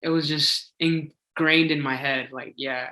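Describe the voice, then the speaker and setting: Chatty young man with a bright voice. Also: a little high, steady tone, unhurried. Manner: chatty, eager, many "like" fillers. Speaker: singing contestant. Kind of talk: casual interview answers. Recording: echoey room.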